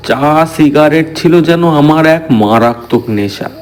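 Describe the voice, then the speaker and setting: A man's voice: a little high, expressive, moderate pace, noisy background, lightly acted. A man voicing a stubborn, proud old village man in a horror cartoon, telling ghost stories. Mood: reminiscing. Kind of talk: Bengali horror cartoon dialogue.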